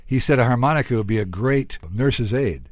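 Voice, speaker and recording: A man's voice: medium pitch, fairly quick, dry recording. A man hosting an interview podcast, talking in a chatty, conversational way and joking with his guest.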